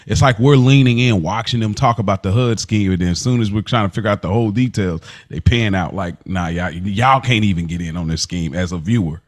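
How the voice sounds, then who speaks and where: A man with a fairly low voice hosts a casual recap podcast. His talk is slangy and informal, moving through scenes in a conversational way. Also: a little fast, hardly any pauses. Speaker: podcast host.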